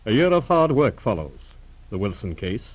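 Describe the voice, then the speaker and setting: A man's voice: fairly low pitch, sing-song, moderate pace, no echo, rough recording. A man narrating as a formal announcer on a 1940s radio serial, in an old recording.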